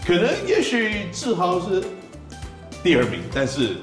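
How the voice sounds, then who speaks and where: A man who interprets and co-hosts an interview, playful and quick to laugh. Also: high voice, huge pitch swings. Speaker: interpreter and co-host. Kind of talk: casual interview. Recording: echoey room with music behind.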